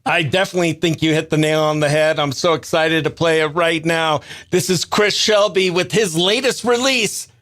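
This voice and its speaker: High, bright-voiced male radio host. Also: moderate pace, few pauses, no room sound, clean studio sound. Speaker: country radio morning show host. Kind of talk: excited live radio presenting and chat.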